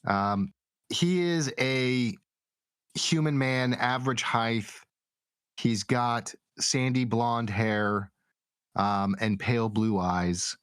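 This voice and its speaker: A man narrating a tabletop game as game master, improvising in a halting, deliberate way. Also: medium pitch, a bit bright, clear, resonant tone, dry recording, studio quality. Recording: music behind the voice.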